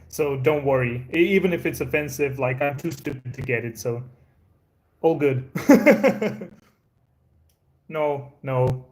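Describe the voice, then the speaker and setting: A Croatian man, a native Croatian speaker with an American-sounding English accent, chatting casually with viewers on his live stream in a rambling, laid-back way, with many fillers and restarts. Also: fairly high pitch. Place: indoors at a home.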